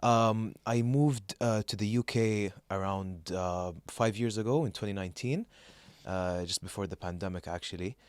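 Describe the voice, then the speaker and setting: A man's voice: lowish voice, moderate pace, few pauses, clear, resonant tone, dry recording. A young man from Saudi Arabia co-hosting a podcast, chatting casually and telling stories in a hesitant, rambling way. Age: young adult. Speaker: podcast co-host. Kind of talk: casual chat, telling personal anecdotes.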